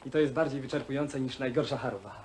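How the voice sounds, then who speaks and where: A man's voice: mid-range voice, fast, darkish tone, lightly acted. An actor playing a breezy young man who talks in casual slang in a naturalistic film drama. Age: young adult. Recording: old Polish film soundtrack, faint noise.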